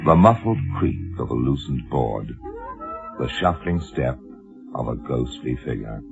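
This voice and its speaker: A man's voice: extremely low pitch, big pitch swings, unhurried, gravelly. A male radio announcer giving an ominous, theatrical introduction to a vintage horror series. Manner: theatrical, sinister, drawn out. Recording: muffled old radio recording. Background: dramatic music.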